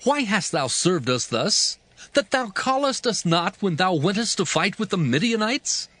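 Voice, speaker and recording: A man's voice: sits high, big pitch swings, measured pace, dry recording, exaggerated voice. An actor playing an indignant, chiding Israelite tribesman in a dramatized Bible reading. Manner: sharp, chiding. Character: indignant man of Ephraim, scolding accuser.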